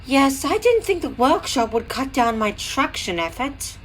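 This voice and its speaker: A woman's voice: high-pitched, big pitch swings, measured pace, soft background music. A woman playing a friendly female switcher engine in an audio drama, coy and politely teasing.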